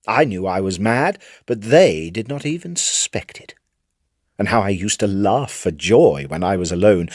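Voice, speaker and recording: A man's voice: fairly low pitch, dry recording, clean studio sound. A British man playing a cunning, haunted madman in a dramatic gothic story reading, theatrical and savoring his words. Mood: gleeful, secretive. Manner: theatrical, savoring words, dropping toward hushed menace. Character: cunning, gleeful, haunted madman confessing his murderous secret. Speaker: narrator.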